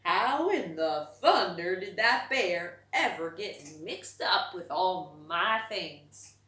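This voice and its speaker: A woman's voice: fairly low pitch, animated, slow, bright, forceful tone, heavily acted. A woman voicing a kindly, thoughtful young man, an artist in a children's storybook, in an emphatic, musing way. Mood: baffled. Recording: roomy echo, distant from the mic.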